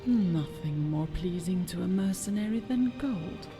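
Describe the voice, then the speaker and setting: Over prominent music comes a scheming noblewoman of a fantasy game. Her low voice has a creaky edge. She speaks in formal, disdainful phrases, as one far above commoners. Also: animated, unhurried. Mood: haughty, composed. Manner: imperious, formal, disdainful diction. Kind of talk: fantasy video game dialogue. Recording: music behind the voice.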